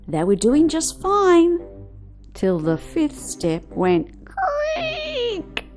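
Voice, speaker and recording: A woman reading a children's picture book aloud, with expressive, rhythmic delivery of the verse. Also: extremely high pitch, extremely slow. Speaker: storyteller. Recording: music behind the voice.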